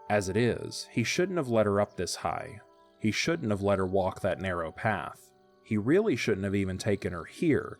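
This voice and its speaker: Low-voiced male storyteller over soft music. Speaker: narrator. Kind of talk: scripted audio drama narration.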